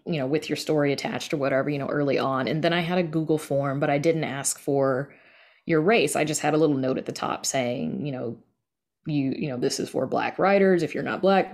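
A woman's voice: deep voice, a little fast, darkish tone. A woman giving casual, candid and emphatic answers as an interview guest on a podcast.

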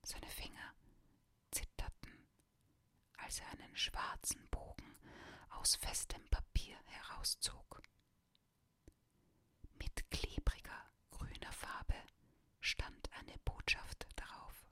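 A woman reading a children's story aloud in a soft, steady whisper, ASMR style. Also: slow, long pauses. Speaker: reader.

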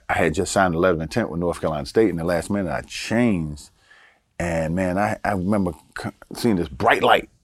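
Older guest from Baltimore, deep voice, easygoing anecdotes, dry recording. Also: male voice, breathy edge, no echo. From Baltimore, Maryland. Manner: relaxed storyteller, chuckles, repeats words for emphasis. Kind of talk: casual storytelling interview.

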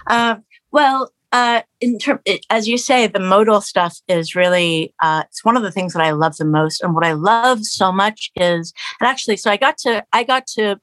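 A woman's voice: mid-range voice, moderate pace, dry recording. A middle-aged woman from Canada who grew up in Los Angeles, a musician talking casually in an interview, full of restarts and fillers. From Canada, raised in Los Angeles. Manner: animated, many restarts and fillers, quotes others in raised voice. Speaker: guest musician. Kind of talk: conversational interview.

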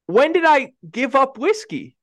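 A man's voice: very high voice, very animated, measured pace, fairly bright tone. A man co-hosting a casual sports podcast, teasing his partner and laughing often. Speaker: podcast co-host.

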